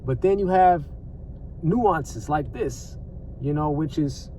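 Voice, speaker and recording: A man's voice: a little high, takes it slowly, dark tone, distant mic, a little hiss or hum, low-quality recording. An American man giving casual, off-the-cuff commentary as a vlogger, conversational and repetitive, with plenty of slang and swearing.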